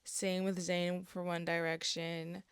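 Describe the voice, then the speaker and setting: A hoarse young woman with a raspy voice, talking casually and candidly to camera on her vlog, full of fillers and swearing. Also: lowish voice, level tone, slow pace, dry recording, studio quality. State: hoarse from an allergic reaction. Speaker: vlogger.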